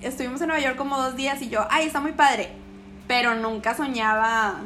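A young woman with a Mexican accent talks in an easy, slangy interview chat. Her voice sits a little high, and she acts out other people's lines as she tells a story. Faint noise hums behind her. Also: a little fast, pushed and bright. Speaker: guest.

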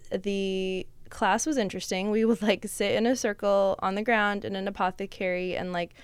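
A young woman chatting as a podcast guest, her voice smooth and clear with a dry, close sound. She talks loosely, with lots of "like" and "um". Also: mid-range voice, steady tone, moderate pace, no echo. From Russia. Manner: chatty, many fillers like "like" and "um", laughs often. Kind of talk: casual chat.

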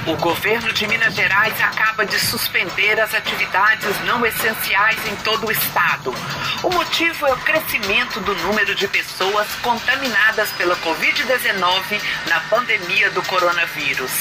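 A woman's voice: medium pitch, natural voice, poor audio. A woman playing a formal newsreader, reading a grave scripted bulletin with a Brazilian accent. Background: loud music.

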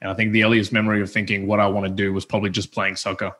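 Bright-toned man from Colombia. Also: fairly low pitch, level tone, very fast. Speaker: podcast co-host. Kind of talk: casual chat.

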